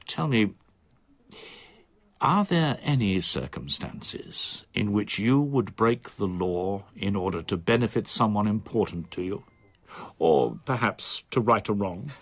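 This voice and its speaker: An actor playing a reserved solicitor in his sixties in a radio drama, with a measured, formal, precise manner. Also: on the low side, animated, creaky, no echo, exaggerated voice. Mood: cool, challenging. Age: 60s. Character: reserved older male solicitor, in his sixties, quietly confiding.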